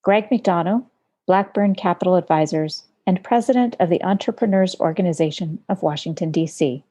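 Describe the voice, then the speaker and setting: Woman podcast host, lowish mellow voice, chatty, lots of ums. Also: normal speed. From United States. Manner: warm, talkative, searching for words with fillers. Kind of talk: conversational interview. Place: home studio in Montana.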